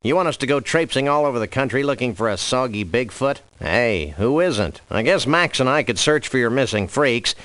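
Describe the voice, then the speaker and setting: Guy doing a wry cartoon dog cop for a video game, deadpan and wordy but pitch moves around a lot, super dry recording. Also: moderate pace, few pauses, no echo, close to an everyday voice. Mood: deadpan, folksy. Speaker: freelance police detective. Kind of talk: scripted video game character voice lines.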